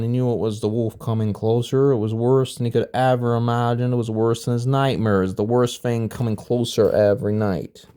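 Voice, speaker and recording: Mellow, informal storybook reader. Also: mid-range voice, smooth. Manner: informal, stumbles over words and rereads. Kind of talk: read-aloud story narration.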